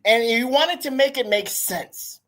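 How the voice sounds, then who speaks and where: A hoarse man trades takes on a wrestling podcast. He pushes his opinions hard and speaks right at the listeners in a relaxed, chatty way. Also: very high voice, unhurried. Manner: opinionated, talks straight to listeners. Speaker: podcast co-host. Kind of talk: casual wrestling chat.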